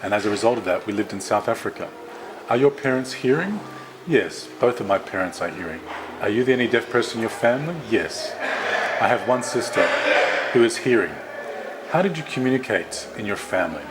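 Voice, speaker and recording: Male sign interpreter, deeper register, steady and careful delivery, noisy ambience in the recording. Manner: measured, careful phrasing with small restarts. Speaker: sign language interpreter voicing both sides. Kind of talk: voiced interpretation of a signed interview.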